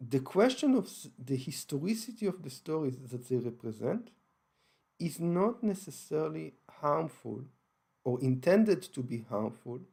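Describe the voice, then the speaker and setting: A man's voice: a little high, sing-song, a little slow, creaky, a little mellow, slightly rough. A man with an Israeli accent, hosting his channel and explaining his views on religion carefully, with frequent hesitations and restarts. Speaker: channel host. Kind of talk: unscripted explanatory talk.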